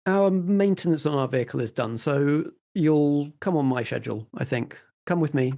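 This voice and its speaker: A man's voice: fairly high pitch, animated, moderate pace, vocal fry, mellow, voice pushed up. A man playing a curt, controlling official in an improvised tabletop roleplay, speaking in clipped orders. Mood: firm, insistent. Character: curt, controlling male official detaining a nosy stranger.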